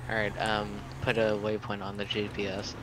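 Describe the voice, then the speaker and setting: A man's voice: fairly low pitch, completely flat delivery, normal speed, heavy background noise. A man chatting casually in character as a player in an online roleplay game.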